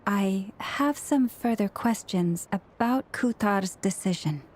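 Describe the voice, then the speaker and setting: A woman playing a composed young priestess in a video game, speaking in a calm, formal, measured way. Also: mid-range voice, noisy background, natural voice. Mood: courteous.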